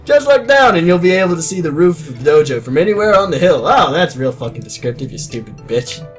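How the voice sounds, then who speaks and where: A man in his twenties reads a story aloud with a slightly high, animated voice. He keeps undercutting the narration with sarcastic, crude asides. Music plays underneath. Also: fast talker. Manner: sarcastic, crude, self-mocking. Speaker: reader of a comedic readalong.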